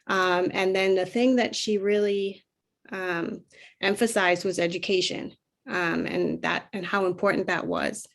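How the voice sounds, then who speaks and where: A woman from Trinidad and Tobago telling her personal story as a panelist, reflective and pausing often. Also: medium pitch, fairly even intonation, creaky. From Trinidad and Tobago, moved to the United States at twelve. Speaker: panelist, youth mentoring program leader.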